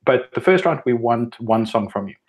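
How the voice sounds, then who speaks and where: A man hosting and moderating a live online chat, talking in a relaxed way with small stumbles. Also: medium pitch, moderate pace. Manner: relaxed, stumbling over words. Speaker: host and moderator. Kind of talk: casual live stream chat.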